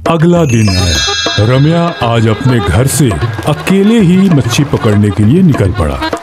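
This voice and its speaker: A man tells a moral story at an unhurried pace. His pitch moves expressively, and music plays behind him. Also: big pitch swings. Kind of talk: scripted moral-story narration. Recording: music bed under the voice.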